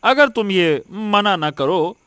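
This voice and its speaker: A man voicing a kindly merchant, strikingly high-pitched. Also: lively intonation, slow, a bit bright, clean tone, no room sound, studio quality, full character voice. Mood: kindly offering. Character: kindly, generous, sensible merchant benefactor, addressed as elder. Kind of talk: Hindi cartoon story voice acting.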